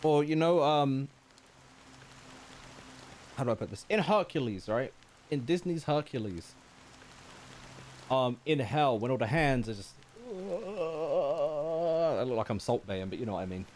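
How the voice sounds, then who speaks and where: Lively male streamer reacting casually. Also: mid-range voice, measured pace, long pauses, slight background noise. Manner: informal, slangy, thinking aloud. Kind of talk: casual live reaction commentary.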